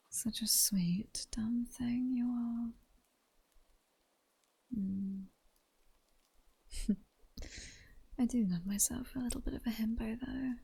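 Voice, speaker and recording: Woman playing a British vampire queen, ASMR roleplay, very breathy whisper, soft mellow tone, slow, sultry, mocking, condescending. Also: mid-range voice, heavily acted. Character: seductive, mocking vampire queen toying with captured prey. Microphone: close-up ASMR microphone.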